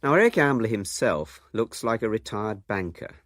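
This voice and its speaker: A man's voice: fairly low pitch, very animated, normal speed, fairly bright tone, dry recording. A man presenting a radio documentary in scripted, literary narration with dry humour.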